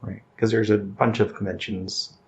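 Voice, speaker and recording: A man with a fairly low voice chats as an interviewer, informal and encouraging. The recording is a touch muffled and carries faint background noise. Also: level tone, normal speed. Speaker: podcast interviewer. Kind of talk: casual interview chat.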